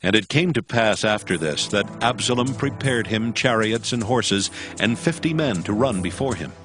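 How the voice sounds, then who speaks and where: A male narrator reads scripture from a script in a slightly low voice. Music is clearly present behind the narration. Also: animated, normal speed.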